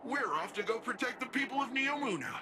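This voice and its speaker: An actor playing a cheerful, cocky young cyborg hero in a video game, with a breezy, slangy, joking delivery. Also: male voice, extremely high pitch, animated, brisk, background noise. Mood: upbeat, breezy.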